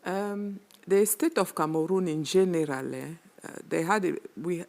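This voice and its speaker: Slow, gravelly woman official. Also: fairly low pitch, darkish tone. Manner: halting, many fillers. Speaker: former regional arts delegate. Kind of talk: panel talk show answer.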